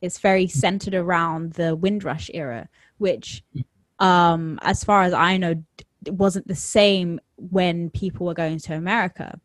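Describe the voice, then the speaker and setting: A woman with a London accent hosting a podcast interview, speaking in a measured, thoughtful way with some hesitant fillers. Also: fairly low pitch, no room sound. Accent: London English. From England. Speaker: podcast host.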